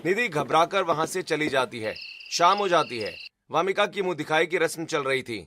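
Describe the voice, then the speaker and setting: A man's voice: fairly high pitch, expressive, moderate pace, bright, forceful tone. A man reading scripted narration for a family drama cartoon story.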